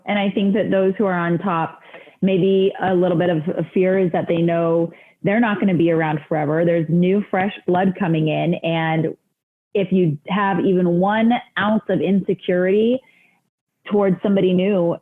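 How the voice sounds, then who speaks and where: A woman's voice: fairly low pitch, fairly even intonation, moderate pace, narrow, tinny audio. A woman podcast host in casual chat, encouraging and reflective, talking in long run-on thoughts.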